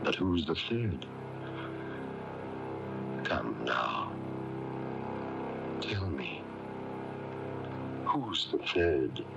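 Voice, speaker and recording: An actor playing a firm, knowing male confidant in a radio mystery drama, questioning deliberately and pressing for answers. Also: rumbling low, very animated, gravelly, exaggerated voice. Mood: quietly pressing. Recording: muffled 1980s AM radio tape, faint music.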